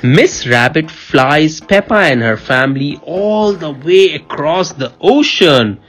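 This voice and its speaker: A woman reading a children's picture book aloud in an expressive, playful, teacherly way, pausing to put questions to a child. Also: low-pitched, breathy edge, soft background music. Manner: expressive, playful, teacherly, repeats answers and prompts. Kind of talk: animated read-aloud storytelling with questions to a child.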